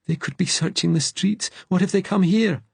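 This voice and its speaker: A man's voice: mid-range voice, moderate pace, creaky, a little mellow, husky, no echo, voice pushed up. A man voicing a frightened, exhausted fugitive in an audiobook, pleading and shaken in manner. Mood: panicked, bitter.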